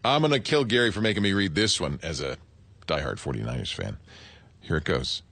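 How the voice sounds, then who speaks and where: A man's voice: low voice, expressive, fairly quick, a bit bright, breathy edge, no room sound. A man reading listeners' written questions aloud.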